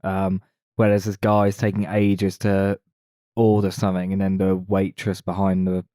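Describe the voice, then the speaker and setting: A man with a deep, mellow voice talks casually as a podcast co-host. The sound is dry and close, with very little room in it. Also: monotone, normal speed, dry recording. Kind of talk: casual film discussion.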